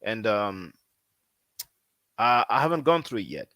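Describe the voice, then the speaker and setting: A man who is not a native English speaker, talking casually as a language teacher, repeating himself often and filling pauses with "you know.". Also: lowish voice, sing-song, bright, forceful tone, no echo, studio quality. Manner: repetitive, conversational, frequent fillers. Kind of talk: casual promotional talk.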